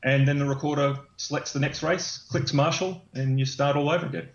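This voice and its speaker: A man's voice: medium pitch, steady tone, a little fast. Man walking through a technical process, hesitant fillers, roomy and muffled recording. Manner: explanatory, many ums and uhs. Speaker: guest explaining swim-meet software. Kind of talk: casual podcast interview. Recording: dull, echoey room sound.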